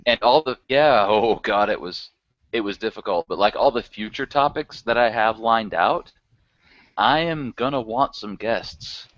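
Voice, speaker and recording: A man's voice: lowish voice, unhurried, a bit bright, no room sound. A man chatting casually as a podcast guest, rambling and chuckling often. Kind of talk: casual chat. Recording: dry, dull lo-fi recording.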